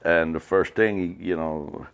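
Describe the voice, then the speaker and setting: Guy in his fifties, real low voice, rambles and restarts a lot, chatty interview. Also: measured pace, smooth, dull audio. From Cuba. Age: 50s. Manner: animated, rambling, many restarts. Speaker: cigar company owner. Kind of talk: casual storytelling interview.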